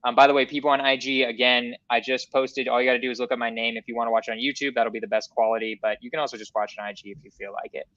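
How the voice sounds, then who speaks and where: A man's voice: medium pitch, flat delivery, racing, few pauses, a bit bright. A man hosting a livestream podcast interview, talking with hyped enthusiasm and saying "like" often. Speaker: podcast host. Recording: dry, dull lo-fi audio.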